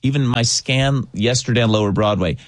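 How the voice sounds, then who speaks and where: A man's voice: mid-range voice, fairly quick, no room sound, studio quality. A man hosting a radio talk show, conversational with hesitant restarts. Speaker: radio host. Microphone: studio microphone.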